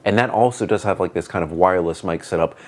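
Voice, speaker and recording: A man with a booming voice giving a casual, rambling product review on a clip-on wireless mic, with lots of "like" and "um". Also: quick pace. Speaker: tech reviewer. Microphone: wireless clip-on lapel mic.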